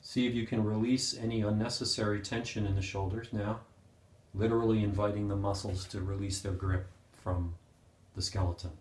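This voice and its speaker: A man leading a guided meditation as a teacher, speaking gently and unhurriedly with pauses. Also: on the low side, steady tone. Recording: roomy, echoing sound.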